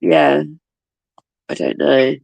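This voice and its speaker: Gravelly, congested woman streaming. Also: sits low, flat delivery, extremely slow, a little mellow, dry recording. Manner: swearing, gossipy, laughs often. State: bunged up with a cold. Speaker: livestream host. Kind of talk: casual chat with viewers.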